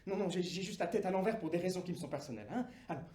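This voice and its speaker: Anxious old patriarch, wheedling and nervous; man performing. Lively pitch, roomy sound. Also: rapid-fire. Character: anxious old father, pleading and bargaining. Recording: echoey room.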